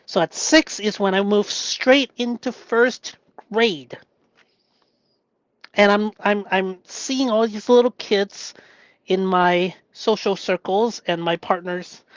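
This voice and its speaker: Slow soft-spoken guy from hong kong, cantonese first language, halting and searching for words, dry close sound. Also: sits high, no echo. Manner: halting, searching for words, often asks "right?". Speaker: vlogger. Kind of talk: reflective personal monologue.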